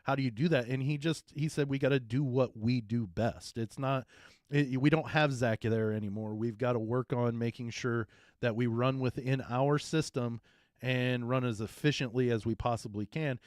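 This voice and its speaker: A deep-voiced man talks sports in a loose, rambling way, restarting sentences and then pressing a point hard. His voice sits at an ordinary pitch and is recorded close and dry, with no room sound around it. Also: steady tone, normal speed, darkish tone, no echo. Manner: rambling, restarts often, emphatic. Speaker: co-host, former coach. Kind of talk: casual sports talk.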